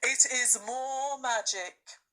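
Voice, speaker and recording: Great lion, woman reader, storybook, majestic, solemn, measured, unhurried, bright tone. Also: high voice, pitched above the performer's own voice, heavily acted. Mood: calm, majestic.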